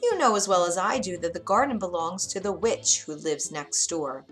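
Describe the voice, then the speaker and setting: A woman's voice: lowish voice, expressive, normal speed, fairly bright tone, soft background music. A woman voicing a timid carpenter husband from a fairy tale, in a hesitant, pleading manner. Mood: reluctant.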